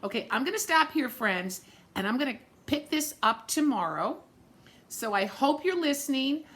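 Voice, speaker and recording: A woman reading a children's story aloud in an expressive, dramatic way, with chatty asides spoken directly to young listeners. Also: high-pitched, normal speed. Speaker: story-time reader for children.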